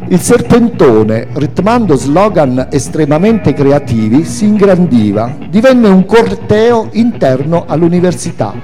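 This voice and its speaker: A man giving an expressive, theatrical reading of a literary memoir. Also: fairly high pitch, measured pace, few pauses, faint music behind. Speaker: reader of a memoir. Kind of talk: dramatic literary reading aloud with music.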